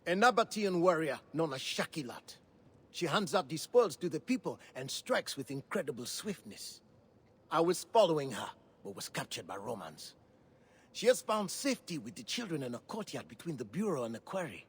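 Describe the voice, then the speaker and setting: An actor playing an earnest rescued scout in a video game, with a husky, rough voice and urgent, animated reporting. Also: male voice, on the high side, moderate pace, full character voice. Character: earnest male scout, rescued captive of Romans. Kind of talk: dramatic video game cutscene acting.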